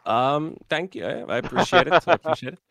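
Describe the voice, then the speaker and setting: A man with a Bulgarian accent doing live esports commentary, a bit nasal and slightly sore from a flu, relaxed and chatty with many fillers. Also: on the high side, brisk, dry recording. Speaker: esports caster.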